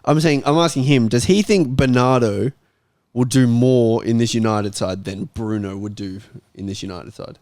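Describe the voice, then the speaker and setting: A man's voice: mid-range voice, moderate pace, darkish tone, no echo, clean studio sound. A man hosting a casual football fan panel, speaking in an informal conversational way. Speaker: host of a football fan talk show.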